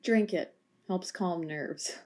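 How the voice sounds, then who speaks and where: A woman playing a calm, deadpan female officer in an amateur audio drama. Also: lowish voice, slow pace. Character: laid-back female officer, deadpan tea lover.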